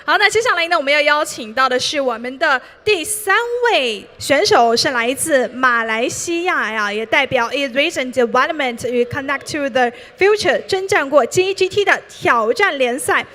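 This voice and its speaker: A woman's voice: high-pitched, lively intonation, normal speed, hardly any pauses, pushed and bright. A woman hosting a live esports event on stage, introducing players in Mandarin and English with upbeat, formal announcer phrasing. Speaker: bilingual event emcee.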